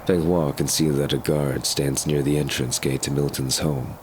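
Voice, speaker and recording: A man narrates an audio drama in an extremely low voice. There is clear noise running behind his scripted storytelling. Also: steady tone, normal speed, a little mellow. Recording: clear background noise under voice.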